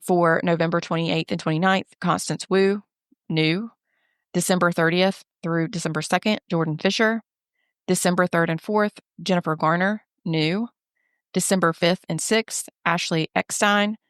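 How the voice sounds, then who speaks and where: A woman co-hosting a casual travel podcast, sounding slightly nasal and stuffed up from a head cold. Her voice sits a little low. The sound is dry and close, with no room echo. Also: clean tone. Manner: runs through lists in a steady, even delivery. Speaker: podcast co-host and travel agent.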